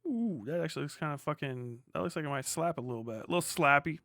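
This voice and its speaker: A man's voice: fairly high pitch, fast talker, pushed and bright, dry recording, clean studio sound. An American man chatting casually on a livestream while gaming, with irreverent, sarcastic and profane commentary. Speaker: live streamer commenting while playing a video game.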